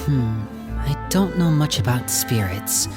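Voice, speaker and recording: A man playing a smooth-talking, protective young brother in a video game, with an earnest, theatrical delivery. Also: medium pitch, expressive, slow, loud music behind the voice. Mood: businesslike. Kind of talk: video game character acting.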